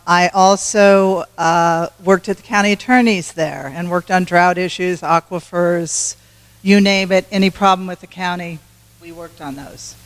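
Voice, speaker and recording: A woman giving a campaign speech to a club meeting, loud and self-assured, with restarts. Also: fairly low pitch, smooth, long reverb tail. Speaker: congressional candidate.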